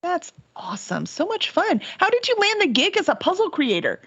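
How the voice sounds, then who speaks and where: A woman hosting an interview, reacting in a quick, upbeat way. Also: extremely high pitch, huge pitch swings, a bit bright, no echo, lo-fi sound. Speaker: interview host. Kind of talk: casual interview chat.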